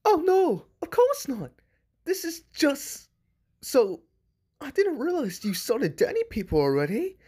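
A man voicing a flustered, gentle, soft-hearted male demon in a cartoon comic dub. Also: very high-pitched, wildly swooping pitch, measured pace, exaggerated voice. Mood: startled, apologetic. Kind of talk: fan comic dub acting.

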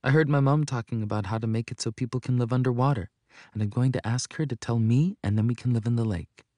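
Audiobook role: dreamy little girl, male narrator acting, raised pitch, earnest, eager, insistent, dry close sound. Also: fast talker, mellow, dry recording, natural voice.

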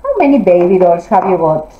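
A woman teaching English to children, encouraging them and gently correcting their answers in an interactive classroom lesson. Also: on the low side, sing-song, brisk, slight background noise. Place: classroom, indoors. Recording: roomy, slightly dull classroom audio.

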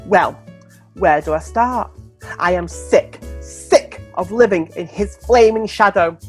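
A man's voice: sits high, slow pace, slightly rough, faint music behind, full character voice. A British man playing a camp, petulant superhero sidekick in a comic radio play, theatrical and huffy. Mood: aggrieved, bitter. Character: camp, petulant young male superhero sidekick, aggrieved partner.

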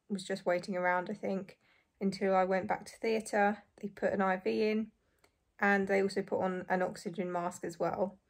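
A young woman in her twenties, hoarse and croaky after surgery, chatting casually to camera from a small bedroom. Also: mid-range voice, darkish tone. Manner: chatty, relieved, frequent light laughs. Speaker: vlogger. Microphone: phone or camera close in front.

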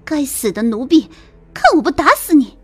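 A woman voicing a haughty, menacing young concubine in an audio drama, sneering and scolding with drawn-out threats. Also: high-pitched, animated, a little mellow, faint music behind. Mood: furious. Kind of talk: audio drama character acting.

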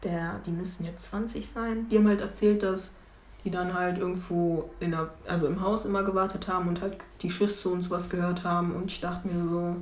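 A young woman in her twenties talking in a documentary interview, thoughtful and hesitant, often pausing to rephrase. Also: lowish voice, level tone, darkish tone, reverberant. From Germany. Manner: thoughtful, hesitant, many fillers and restarts. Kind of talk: reflective documentary testimony. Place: small room indoors and street outdoors.

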